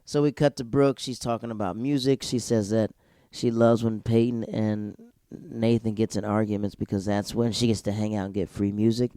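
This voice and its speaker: Brief: young male solo podcast host, loose and slangy, mellow dark tone, dry close sound. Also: on the low side, steady tone, moderate pace, no room sound. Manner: rambling, digressive, slangy. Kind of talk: casual TV episode review.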